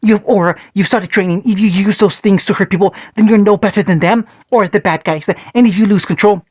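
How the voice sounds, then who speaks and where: A man's voice: high voice, a little fast, pitched above the performer's own voice. Man putting on a furious scolding father. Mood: angry scolding. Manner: shouting, stern. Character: angry young father, scolding his son.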